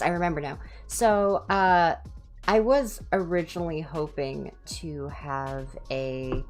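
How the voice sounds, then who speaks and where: A woman hosting a livestream, chatting casually in ASMR style with a low-key, rambling, self-deprecating manner. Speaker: livestream host and reader.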